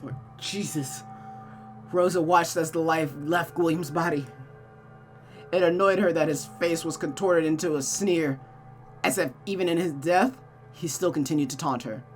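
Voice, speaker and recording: A woman's voice: low voice, moderate pace, faint music behind. A woman reading a visual novel aloud as host, in a dramatic storytelling style.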